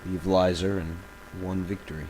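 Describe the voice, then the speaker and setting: A man playing an embattled villain recording a grave confessional audio log, speaking haltingly and solemnly with dramatic pauses. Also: deep voice, level tone, warm tone, slight background noise, natural voice, rough recording. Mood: grave, reflective.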